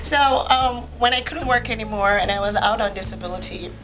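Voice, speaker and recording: A woman from Jamaica, a physician appearing as a podcast guest, telling her personal story in a measured, matter-of-fact way. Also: medium pitch, crowd noise, low-quality recording.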